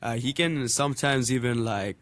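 A man's voice: medium pitch, moderate pace, fairly bright tone, dry recording. Young man, from Hong Kong, interview guest, chatty, rambling with "you know, like". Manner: chatty, storytelling, many "you know, like" fillers. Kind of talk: casual interview chat.

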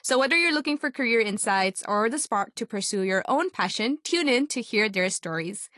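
A woman's voice: on the high side, lively intonation, normal speed, fairly bright tone, dry recording, studio quality. Upbeat woman radio host. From Pakistan. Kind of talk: radio talk show hosting.

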